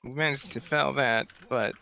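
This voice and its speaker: A man's voice: medium pitch, steady tone, moderate pace, gravelly, bright, forceful tone. A man playing a tabletop role-playing game, talking casually and speaking for his character.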